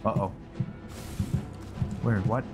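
A man's voice: on the low side, steady tone, slow pace. A man doing casual let's play commentary, thinking aloud with mild exclamations as he plays. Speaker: gamer playing and commentating. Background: game music.

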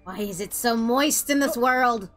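A woman's voice: fairly high pitch, unhurried, a bit bright, faint music behind. A woman streamer giving exasperated, ranting commentary while playing a game. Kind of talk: let's play commentary.

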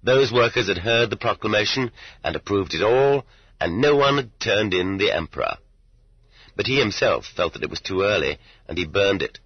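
Deep, husky-voiced male audiobook narrator. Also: lively intonation, normal speed. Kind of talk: scripted literary narration. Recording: dull lo-fi roomy cassette audiobook.

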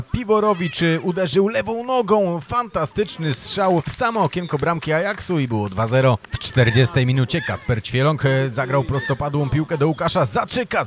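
A man reading a scripted voice-over of youth football match highlights, narrating each play in steady Polish. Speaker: match commentator.

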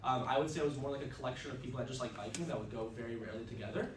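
A man's voice: medium pitch, very fast. A young man whose first language is Russian gives a casual, upbeat student club presentation, full of fillers, recorded from across a classroom. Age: young adult. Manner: upbeat, chatty, many fillers. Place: classroom, indoors, mid-sized. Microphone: far camera. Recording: roomy, distant, faint ambient noise.

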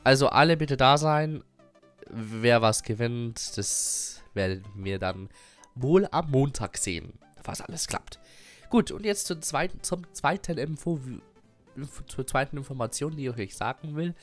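A man's voice: medium pitch, expressive, measured pace, soft background music. Young man with a cold, rambling update. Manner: rambling, many fillers and restarts, frequent chuckles. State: has a cold. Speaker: gaming YouTuber and streamer. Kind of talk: casual channel update.

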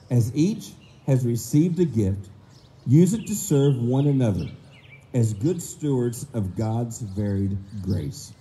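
A middle-aged man, hoarse and low-voiced this morning, preaching a sermon at a stand mic in an outdoor park pavilion and mimicking people's excuses in quick voices. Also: mellow, slight background noise. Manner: acts out excuses in quick voices, slow emphatic stresses. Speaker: minister. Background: faint outdoor ambience with birds.

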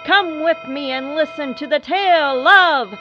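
Woman doing spooky theatrical radio intro, high voice, muffled lo-fi, music behind. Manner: theatrical, slow and ominous. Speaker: announcer. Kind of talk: eerie scripted horror radio introduction. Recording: dull lo-fi sound with music.

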